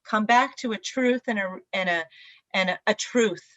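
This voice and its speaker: A woman's voice: mid-range voice, normal speed, fairly bright tone, no echo. Reflective woman, dry lo-fi sound. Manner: reflective, rambling with many fillers and restarts. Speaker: band singer, interview guest. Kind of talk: casual interview chat.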